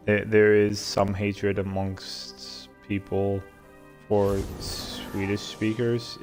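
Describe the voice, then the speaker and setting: A man from America hosting a solo vlog in a casual, reflective way, halting and repeating words as he searches for phrasing. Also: on the low side, darkish tone. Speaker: host of a solo vlog and podcast. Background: video game sound and music.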